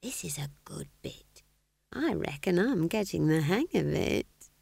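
A woman voicing an easygoing, critical older country witch in an audiobook, with slow, deliberate, homely talk. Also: on the low side, big pitch swings, creaky, darkish tone, breathy edge, dry recording, full character voice. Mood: placid.

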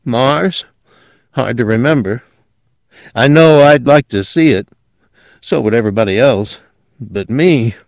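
A narrator, a man, plays a bitter man held under a truth drug in an audiobook. His voice is grating and raised above a natural low register, drawling and sardonic, recorded dry. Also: unhurried, creaky, pauses often, no echo. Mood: drugged, fading.

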